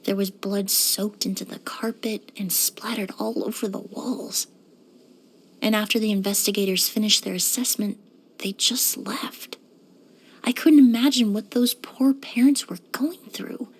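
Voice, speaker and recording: A woman playing a courteous, composed young woman in a video game, speaking politely and earnestly with careful, measured wording. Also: mid-range voice, steady tone, breathy edge. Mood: somber, subdued. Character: poised, courteous young woman perfumer and forensic cleaner. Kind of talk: scripted video game character voice lines.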